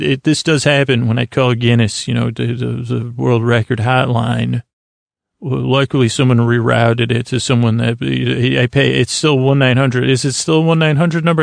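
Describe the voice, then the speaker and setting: A man hosting a bedtime podcast, rambling in a halting, meandering, self-deprecating monologue meant to lull listeners to sleep. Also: mid-range voice, vocal fry, no room sound. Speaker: podcast host.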